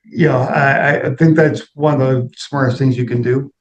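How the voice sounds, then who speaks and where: Middle-aged man, fairly even pitch. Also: normal speed, dull audio. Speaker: interview guest, aviation executive. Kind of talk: conversational interview answers.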